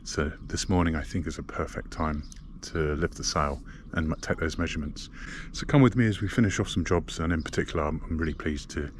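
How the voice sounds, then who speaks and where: A man's voice: a bass voice, brisk. A man talking casually to viewers as a vlogger, in an informal, chatty narration. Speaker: sailing vlogger. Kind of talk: casual vlog talk to camera. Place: aboard a sailboat in a marina. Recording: clear background ambient noise.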